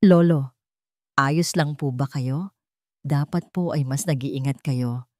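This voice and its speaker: A woman's voice: rumbling low, slow pace, mellow, dry recording, clean studio sound. A woman voicing a charming young rich grandson with a calculating streak in an audio drama. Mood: concerned.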